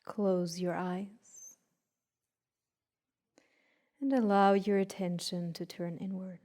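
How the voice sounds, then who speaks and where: Woman, warm relaxed tone, soft and breathy, mid-pitched, slow guided meditation, gentle instructions, long pauses.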